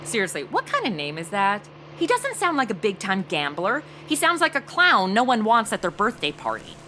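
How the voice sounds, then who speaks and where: A woman's voice: mid-range voice, wildly swooping pitch, moderate pace, bright, forceful tone, a little hiss or hum. An actress playing a brash, clueless, celebrity-obsessed young woman, flippant and melodramatic in comedic audio drama banter. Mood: snarky, oblivious.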